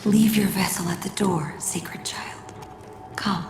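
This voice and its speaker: A woman playing a fervent, reverent cult priestess in a video game, theatrical, with a creaky, breathy voice. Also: medium pitch, steady tone, slow pace, echoey room, over music. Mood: hushed, reverent. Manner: reverent, theatrical, breathy whispers rising to incantatory cries. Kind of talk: dramatic video game acting.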